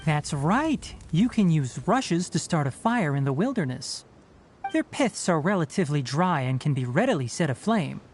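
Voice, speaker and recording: A calm young forest ranger from a video game, speaking in a lowish voice with lively rises and falls. He explains the wilderness patiently, like a teacher, with a mild teasing edge. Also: moderate pace, slight background noise. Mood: instructive.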